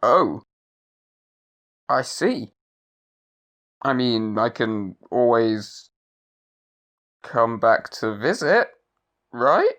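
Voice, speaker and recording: A woman's voice: rumbling low, very animated, very slow, pauses often, full character voice. A woman playing an impatient, sardonic dead soul in an audio drama. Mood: tender, hesitant. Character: impatient, sardonic dead person awaiting afterlife.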